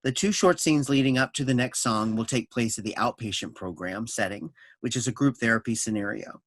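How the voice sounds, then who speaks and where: A man's voice: mid-range voice, fairly quick, fairly bright tone, dry recording. A man reading scripted stage directions and scene summaries for a staged play reading.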